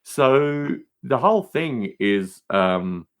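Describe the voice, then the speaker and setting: Animated man in casual podcast talk. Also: mid-range voice, very slow. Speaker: podcast guest. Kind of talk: casual conversation.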